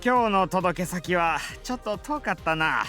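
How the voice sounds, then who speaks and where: Bright, high-voiced, overly polite shopkeeper. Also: male voice, expressive, fast. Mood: gentle. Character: polite male shop owner, gentle with an icy edge. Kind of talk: Japanese visual novel voice acting. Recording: game music behind the voice.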